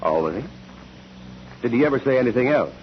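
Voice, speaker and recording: An actor playing a formal, relentless courtroom examiner who questions witnesses deliberately in a 1940s radio drama. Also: male voice, mid-range voice, expressive, natural voice. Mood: insistent. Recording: muffled, noisy old 1940s radio recording.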